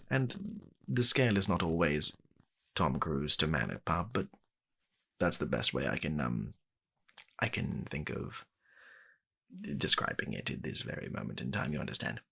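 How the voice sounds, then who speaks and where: A British man softly playing a polite, fussy gentleman matchmaker in a comedic ASMR roleplay, hesitating and repeating his reassurances. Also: low-pitched, full character voice. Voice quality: gravelly, soft-spoken.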